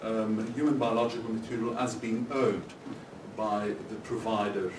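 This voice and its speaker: Man researcher on a panel, lowish voice, lots of ums, far from the mic, noticeable noise. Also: fairly even intonation, moderate pace. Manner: hesitant, many ums. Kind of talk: conference panel remarks. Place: conference hall. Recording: echoey hall, distant mic, noisy.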